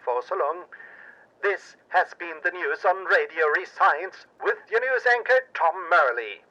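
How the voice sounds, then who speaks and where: Paranoid patriotic radio anchor, male actor, deliberate and sharp-edged, portentous storytelling, dull broadcast sound. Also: high-pitched, lively intonation, exaggerated voice. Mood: grave, earnest. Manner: dramatic, portentous delivery. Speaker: news anchor, narrator. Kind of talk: pulp radio drama news report.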